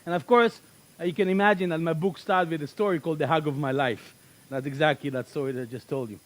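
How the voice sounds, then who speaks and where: Middle-aged Israeli-born speaker, high voice, punchy and repetitive, workshop presenter. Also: male voice, fast. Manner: animated, emphatic, repeats key phrases. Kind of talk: motivational workshop talk.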